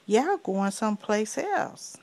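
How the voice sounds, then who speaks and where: A middle-aged woman, a grandmother improvising a children's story with long pauses and coaxing questions. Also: medium pitch, very animated, darkish tone. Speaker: grandmother telling a bedtime story.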